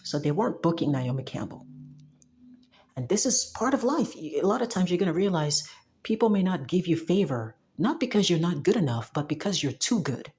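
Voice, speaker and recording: A woman's voice: on the low side, very animated, fairly quick, darkish tone. A young woman from Cameroon, talking casually and emphatically to the camera, with restarts and frequent laughs. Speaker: software developer talking to camera. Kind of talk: casual monologue.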